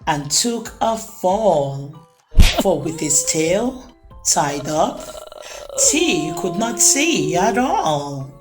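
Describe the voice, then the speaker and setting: Woman, children's read-aloud, very slow pace, lively swooping pitch, background music. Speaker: children's storytime reader and host.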